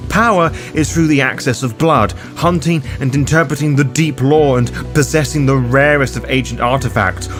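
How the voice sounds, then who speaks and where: A man's voice: mid-range voice, a bit bright. Man narrating a lore guide over prominent music. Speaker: host and narrator of a roleplaying guide. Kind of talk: scripted lore narration addressed to the listener. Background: background music under the voice.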